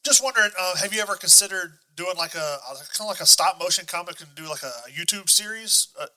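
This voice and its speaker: Man, podcast host, high voice, very bright and sharp, casual chat. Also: lively intonation, quick pace, rough recording.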